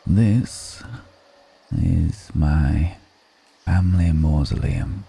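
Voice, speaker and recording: A man playing an ancient, refined, wistful male vampire in an intimate ASMR roleplay, speaking slowly and formally with measured pauses. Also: low voice, vocal fry, warm tone, breathy edge, heavily acted. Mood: wistful, solemn. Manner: slow, measured, pausing between phrases, formal wording. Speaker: voice actor in an audio roleplay.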